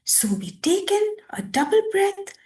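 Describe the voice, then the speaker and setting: A woman leading a guided meditation as a teacher, speaking in deliberate, measured phrases with pauses. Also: very high voice, very animated, mellow. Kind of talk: guided meditation with reading aloud and instructions.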